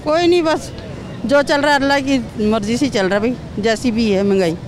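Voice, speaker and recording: Pakistani woman shopper amid street rumble. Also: normal speed. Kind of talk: spontaneous street interview. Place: outdoor street market. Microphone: handheld reporter microphone.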